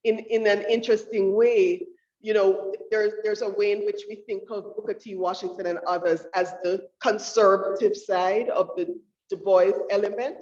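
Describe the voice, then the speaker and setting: A woman's voice: medium pitch, fairly even intonation, normal speed, darkish tone. A woman talks through her research in a university lecture room, searching for words with many restarts and fillers. The room is echoey, and the sound is a little dull and muffled. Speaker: featured author at a book talk. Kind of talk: academic talk about her research. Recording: roomy, dull room audio.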